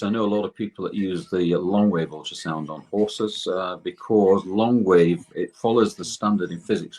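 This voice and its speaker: Older man, low-pitched, husky, technical explainer, halting delivery, self-correcting. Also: a little mellow, rough recording. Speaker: guest expert in electrotherapy.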